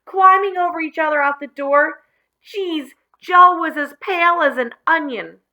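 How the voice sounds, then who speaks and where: A woman's voice: extremely high pitch, unhurried, bright, forceful tone, voice pushed up, exaggerated voice. A woman voicing a boastful, manic young boy from a children's storybook in a theatrical, declaiming manner. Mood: gleeful. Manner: theatrical, declaiming with repeated words.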